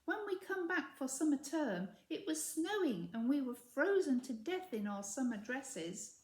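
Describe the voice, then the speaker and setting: A woman's voice: high-pitched, very animated, a little slow, a little mellow, pitched above the performer's own voice. A woman voicing an earnest, accident-prone young schoolgirl witch in a storybook, recorded in a roomy space. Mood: grumbling. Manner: plaintive, unenthusiastic.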